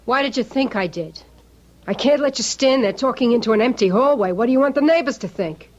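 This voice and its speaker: An actress playing a sharp-tongued, suspicious American working-class wife, blunt and scolding, in an old-time radio drama. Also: female voice, on the high side, fairly quick, dull audio, lightly acted.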